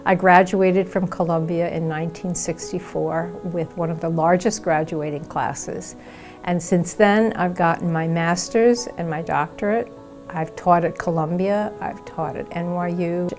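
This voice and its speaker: Lowish-voiced woman in her seventies. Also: darkish tone. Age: 70s. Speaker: veteran nurse and educator. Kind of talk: personal testimonial for a promotional video. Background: faint music.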